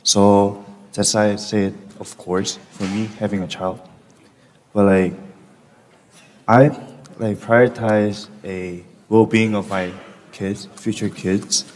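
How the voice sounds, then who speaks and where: A young freshman from South Korea answers in English, his native tongue being Korean. He speaks steadily and often uses fillers. His low, flat voice carries a long hall reverb. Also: takes it slowly, long pauses, a little hiss or hum. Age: young adult. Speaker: freshman student. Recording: echoey lecture hall.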